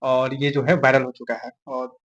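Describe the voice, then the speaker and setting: A man's voice: mid-range voice, little pitch movement, normal speed, fairly bright tone, muffled recording. A man with a Bihari accent answering interview questions informally, making his points emphatically and repeating them. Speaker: interview guest commenting on film-star news.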